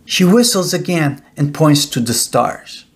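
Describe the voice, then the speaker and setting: Slow-paced middle-aged man reading a bedtime story. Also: a little high. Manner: deliberate, expressive storytelling. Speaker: author reading own children's book.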